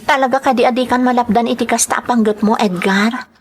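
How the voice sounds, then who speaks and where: A woman's voice: fairly high pitch, steady tone, brisk, husky, pitched above the performer's own voice. A woman with an Ilocano accent playing a worried, pleading young sweetheart in a radio drama.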